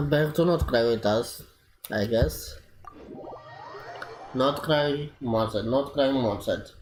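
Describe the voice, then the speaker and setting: A man streaming a video game with casual, halting, rambling commentary. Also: mid-range voice, darkish tone. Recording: faint room noise and clicking.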